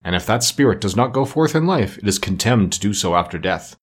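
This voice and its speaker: A man's voice: lowish voice, lively intonation, a little fast, breathy edge. A man voicing a tormented, solemn ghost of a dead businessman in a storybook reading, with mournful, grave, declamatory delivery. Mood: woeful.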